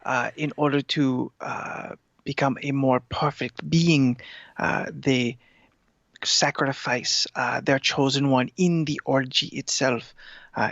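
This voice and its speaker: A man voicing a haughty male talking cat with a slow, formal delivery. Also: mid-range voice, a bit bright, dry recording. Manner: slow formal delivery, speaks of cats as superior. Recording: dull lo-fi sound.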